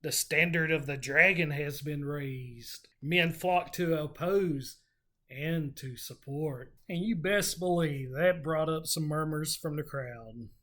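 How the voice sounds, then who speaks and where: A co-host casually recapping and discussing a book, telling the story in an informal, slightly halting way. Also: medium pitch, fairly bright tone. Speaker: podcast co-host.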